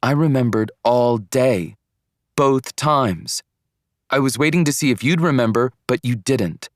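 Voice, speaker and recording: A man's voice: fairly low pitch, normal speed, dry recording, clean studio sound, natural voice. A man voicing a hurt, accusing teenage girlfriend in an audiobook.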